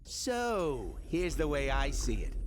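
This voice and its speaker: A man's voice: on the high side, wildly swooping pitch, slow, slight background noise, exaggerated voice. A man voicing a cocky, wisecracking male space adventurer with a breezy, joking delivery.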